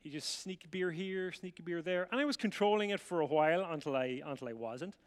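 A man's voice: on the high side, expressive, fairly quick, clear, resonant tone. A middle-aged Irish man from the rural midlands, telling his life story to an audience with chatty, self-mocking humour and acted-out dialogue. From Ireland. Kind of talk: storytelling talk to a live audience. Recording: reverberant hall sound.

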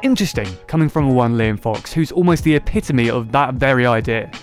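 A man's voice: mid-range voice, animated, brisk, soft background music. A man hosting a casual political podcast, sarcastic and mocking. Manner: sarcastic, mocking voices of others. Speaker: podcast host.

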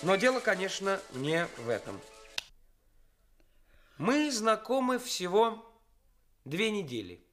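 A brazen, slow-talking young swindler. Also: male voice, high voice, sing-song, fairly bright tone, exaggerated voice. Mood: smug, calculating. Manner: pompous, deliberate, emphatic pauses. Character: brazen young actor posing as cynical swindler fiancé. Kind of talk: Soviet radio play acting. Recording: studio radio play with music.

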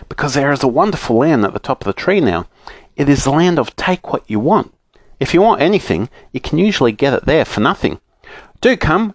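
A friendly storybook fantasy fellow, put on by a man, eager and coaxing. The voice sits high and swoops about merrily. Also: dry recording. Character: friendly, eager male moon-faced fantasy creature.

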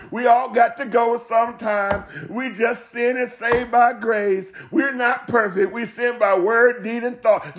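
A man delivering a fervent sermon as a preacher, exclaiming and repeating phrases emphatically. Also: very high voice. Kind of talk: fervent Pentecostal-style sermon.

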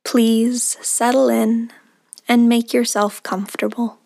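A woman guiding a meditation in a soothing, unhurried manner, leaving long pauses between short phrases, with soft, breathy endings. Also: fairly high pitch, level tone, dry recording, studio quality. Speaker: meditation guide. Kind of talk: guided meditation narration.

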